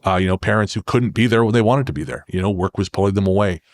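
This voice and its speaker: A man from Canada speaks as an interview guest. His voice is on the low side and sounds dry and close. He talks reflectively, restarting phrases as he works through a thought. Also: quick pace, dry recording. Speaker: podcast guest, horror fiction creator. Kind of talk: conversational interview talk.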